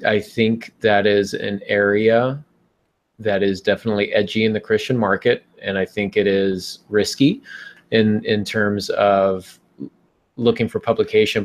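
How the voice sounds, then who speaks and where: A man hosting a casual advice discussion, speaking in a measured, hesitant and reassuring way. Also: on the low side. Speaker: podcast host.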